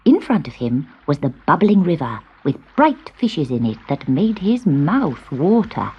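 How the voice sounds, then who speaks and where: Husky, animated woman storyteller. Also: low voice, measured pace, dull audio. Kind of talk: scripted children's story narration.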